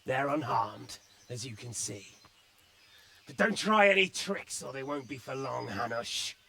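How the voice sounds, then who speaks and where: An actor playing a menacing, foul-mouthed medieval villain lord in a video game, sneering and threatening. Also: male voice, medium pitch, very animated, measured pace, gravelly, bright, forceful tone, exaggerated voice. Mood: cold warning. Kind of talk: dramatic video game acting.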